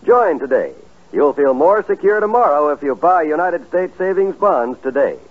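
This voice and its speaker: A man's voice: a little high, lively intonation, normal speed. Tinny 1950s radio announcer man.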